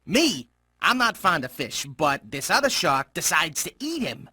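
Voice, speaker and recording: High, husky, nervous cartoon shark. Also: male voice, sing-song, bright, forceful tone, no echo, studio quality, exaggerated voice. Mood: nervous, evasive. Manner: halting, self-correcting, nervous. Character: timid, evasive young male shark, nervously gentle. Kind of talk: video game cutscene monologue.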